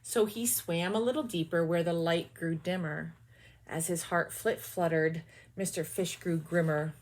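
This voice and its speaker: A woman teacher reading a children's picture book aloud with expressive storytelling and quick, quiet asides. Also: lowish voice, slight background noise.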